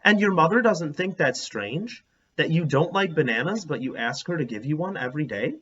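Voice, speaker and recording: Man reading as an excitable, inquisitive young schoolgirl. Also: on the high side, moderate pace, slightly rough. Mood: curious, confident. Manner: eager, questioning. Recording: dull, lo-fi sound.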